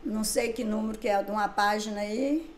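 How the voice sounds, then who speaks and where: A woman's voice: mid-range voice, fairly quick, slight background noise. An older woman, a writer and songwriter, chatting in an interview and acting out past conversations as she tells her stories. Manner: lively storyteller who acts out past conversations. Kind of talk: casual interview chat. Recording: echoey room.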